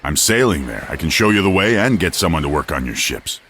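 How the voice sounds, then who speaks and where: A plain-spoken warrior from a mythological game. His voice is rather low and animated, with faint ambience behind it. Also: brisk, slight background noise. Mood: earnest. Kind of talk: dramatic game cutscene acting.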